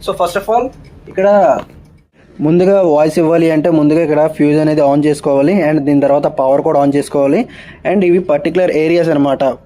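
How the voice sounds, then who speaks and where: A man's voice: fairly high pitch, steady tone, normal speed, mellow. A man gives a casual step-by-step vlog explanation, mixing in English terms. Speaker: seafarer vlogger. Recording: clear ambient background noise.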